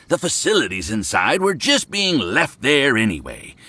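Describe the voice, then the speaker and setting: A man's voice: mid-range voice, wildly swooping pitch, moderate pace, bright, forceful tone, no room sound, exaggerated voice. A man voicing an effusive, genial house owner in an anime game, theatrical, courteous and eager to please. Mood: grateful, jovial.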